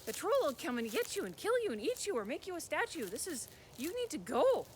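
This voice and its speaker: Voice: high voice, sing-song, brisk, slight background noise, pitched above the performer's own voice. A storyteller voicing a nervous, eager young boy who serves a troll, in a raised, fawning voice.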